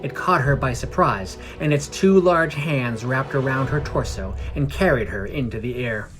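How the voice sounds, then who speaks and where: A man's voice: medium pitch, moderate pace. Male fantasy story narrator over music. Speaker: narrator of a fantasy fiction podcast.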